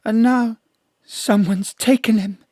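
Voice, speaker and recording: An actress playing a seductive, melodramatic gangster's widow in a noir-parody audio drama, pleading and flirtatious. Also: female voice, a little high, steady tone, slow, a little mellow, dry recording, clean studio sound. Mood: desperate.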